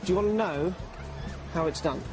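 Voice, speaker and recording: A man presenting a television show, calmly narrating and explaining things in a measured way. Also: fairly high pitch. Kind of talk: scripted narration and on-location talk. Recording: music playing under the voice.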